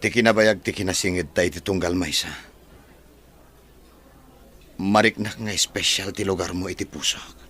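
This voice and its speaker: A man voicing a calm, decisive male farm owner with an Ilocano accent, formal in manner. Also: low-pitched, normal speed, pauses often, husky. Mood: measured.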